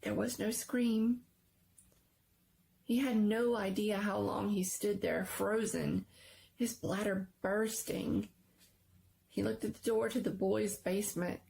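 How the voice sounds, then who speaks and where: A woman giving a dramatic, suspenseful narration of a scary story, sometimes hushed and lingering on words. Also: mid-range voice, fairly even intonation, slow pace, creaky, pauses often, a little hiss or hum. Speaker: story reader.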